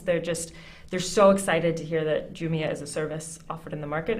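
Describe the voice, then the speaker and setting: A woman with a lowish voice answers a TV business interview as a company country manager. She sounds upbeat and fluent, with an occasional "um" as she explains. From United States. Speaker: country manager of an online shop.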